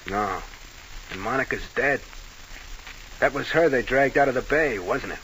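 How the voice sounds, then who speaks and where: An actor playing a sly nightclub manager under a gangster, needling with feigned innocence and dry sarcasm in an old-time radio drama. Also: male voice, medium pitch, normal speed, a bit bright, lightly acted, low-quality recording. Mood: coolly dismissive. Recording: hissy vintage radio recording.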